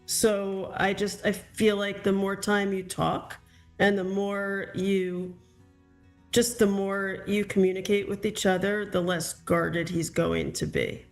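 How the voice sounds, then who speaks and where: A woman gives a live psychic reading, reassuring and groping for the right words. She speaks very slowly and carefully. Soft music plays faintly behind her. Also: mid-range voice, level tone. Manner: slow, reassuring, searching for words. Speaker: psychic reader.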